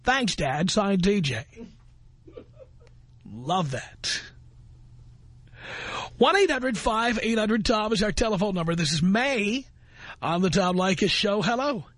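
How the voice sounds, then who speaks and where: A man's voice: high-pitched, sing-song, slow pace, pauses often, a bit bright. A man hosting a talk radio show, brash and sarcastic as he banters with callers. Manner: brash, sarcastic, mocking put-on voices.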